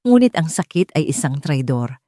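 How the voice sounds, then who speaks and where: A woman's voice: low-pitched, sing-song, moderate pace, warm tone, no room sound, clean studio sound. A woman reading scripted narration for a dramatic audio story as its narrator.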